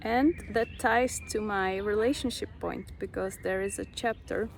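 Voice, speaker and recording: A young Czech woman with a Czech accent, slowly sharing a personal spiritual story with many pauses. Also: medium pitch, slight background noise. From Czech Republic. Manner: slow, reflective, pausing often. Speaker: vlogger.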